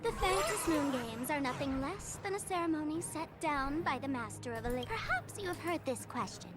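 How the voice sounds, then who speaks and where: A woman's voice: high-pitched, animated, a little fast, over loud music. A woman playing a sly, nonchalant young female trickster in a video game, breezy and sardonic. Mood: teasing, dismissive. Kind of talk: video game character acting.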